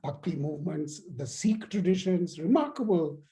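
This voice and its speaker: Mellow-voiced older male scholar. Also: a little high, lively intonation, moderate pace. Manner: discursive, emphatic, illustrates points with anecdotes. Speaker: guest lecturer and scholar. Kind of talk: academic lecture question-and-answer.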